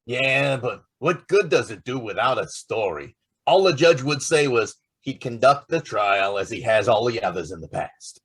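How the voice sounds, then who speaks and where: Man playing a husky, swooping, breezy newspaper reporter. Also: mid-range voice, animated, moderate pace, hardly any pauses, fairly bright tone. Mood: frustrated. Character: keen, breezy star newspaper reporter, male. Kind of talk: old-time radio drama acting.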